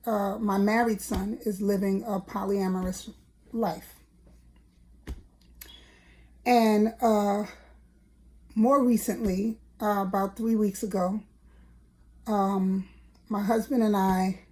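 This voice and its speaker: A middle-aged woman speaks in an unhurried, drawn-out way, her voice raspy and a bit lower than usual. The tone is mellow and soft-edged. She circles her points with fillers and restarts, and repeats key phrases earnestly. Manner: hesitant, many fillers and restarts; earnest, repeats herself for emphasis. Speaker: vlogger. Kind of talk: casual personal vlog talk.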